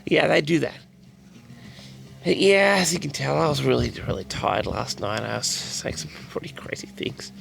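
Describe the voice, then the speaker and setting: A man with a tired, raspy voice, chatting casually and loosely to his audience with many restarts. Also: mid-range voice, big pitch swings, moderate pace, a bit bright. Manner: rambling, repeats and restarts sentences. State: tired, raspy from a late night. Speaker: audio log host. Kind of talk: casual rambling chat to audience.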